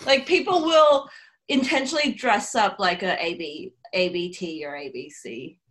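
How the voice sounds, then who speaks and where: A woman's voice: mid-range voice, normal speed, fairly bright tone. A woman from Taiwan, a podcast guest, chatting casually and laughing often. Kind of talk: casual chat.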